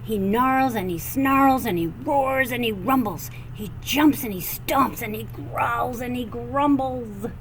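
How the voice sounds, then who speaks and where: A woman reading a children's picture book aloud with animated, sing-song expression and drawn-out phrases. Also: fairly high pitch, a little hiss or hum, low-quality recording. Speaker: storybook reader.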